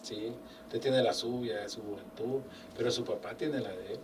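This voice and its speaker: An older man tells his story in a relaxed interview and voices the people he quotes. He sounds a little far from the mic, with a faint hiss underneath. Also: mid-range voice, normal speed. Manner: animated, acts out quoted dialogue, religious phrasing. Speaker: guest, business owner. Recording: distant mic with faint background noise.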